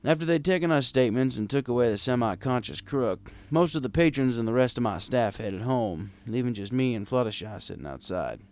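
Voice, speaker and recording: A man's voice: medium pitch, expressive, a little fast, hardly any pauses, darkish tone. A man narrating a scripted first-person story as a world-weary, kindly old bartender. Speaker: audiobook narrator.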